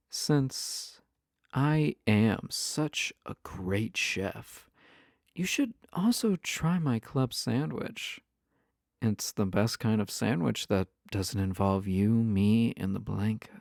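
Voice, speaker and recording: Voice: medium pitch, expressive, slow, gravelly, darkish tone, no echo, clean studio sound. A man playing a flirty, playful, obsessive yandere spouse in an intimate audio roleplay, teasing the listener with suggestive lines and whispered asides.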